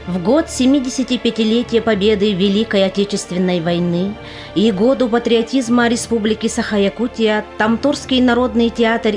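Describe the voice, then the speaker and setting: A woman's voice: mid-range voice, a little mellow. A woman giving scripted, solemn, declamatory narration for an audio play.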